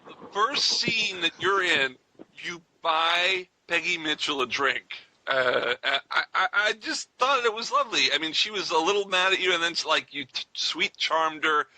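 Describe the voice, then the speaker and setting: Enthusiastic American male co-host, higher-pitched, very sharp bright tone, casual fan chat. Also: expressive, normal speed, no echo, low-quality recording. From United States. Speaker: radio show co-host.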